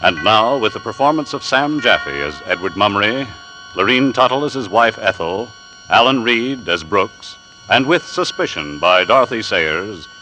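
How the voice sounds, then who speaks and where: Male narrator, very low voice, formal and measured, vintage radio drama with clear music underneath. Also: expressive, pushed and bright, poor audio.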